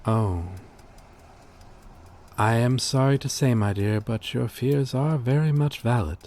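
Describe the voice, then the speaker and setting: A man playing a courteous, melancholy vampire-like creature in an ASMR roleplay, speaking gently and intimately in formal, old-fashioned phrases. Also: medium pitch, a little slow, natural voice. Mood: concerned, confiding. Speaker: roleplay voice performer.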